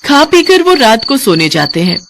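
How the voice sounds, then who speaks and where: Expressive woman storyteller with lively intonation. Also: a little high, moderate pace, background noise. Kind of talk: scripted narration of a children's moral story.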